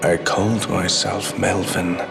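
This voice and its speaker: A man's voice: sits low, flat delivery, a little slow, gravelly. An actor playing a scheming, power-hungry traitor lord in a fantasy game, cold and contemptuous, speaking in a grandiose, theatrical way as he nears death. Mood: solemn, dying defiance. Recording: long hall reverb, loud music behind.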